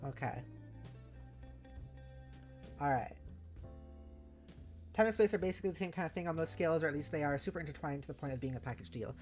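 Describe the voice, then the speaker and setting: A woman's voice: low-pitched, a little fast, pauses often, darkish tone. A woman hosting a casual livestream, playful and sweary, reacting aloud with music behind her. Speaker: livestream host. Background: faint music.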